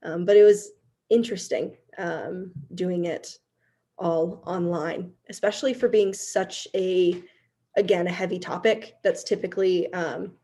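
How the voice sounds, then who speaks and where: A woman speaking casually and haltingly in an online panel discussion, thoughtful and hesitant as she goes. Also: on the low side, steady tone, dark tone. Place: online video call.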